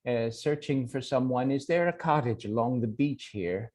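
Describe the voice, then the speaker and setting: A man voicing Death, a robed old figure, in a low, hushed, solemn manner in a spoken folk tale. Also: a little fast, close to an everyday voice.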